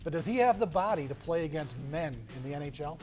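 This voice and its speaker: A man with a somewhat high-pitched voice asks questions on a sports talk show. His delivery is conversational. Music plays quietly under his voice. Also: lively intonation, fast talker. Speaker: sports talk host, interviewer.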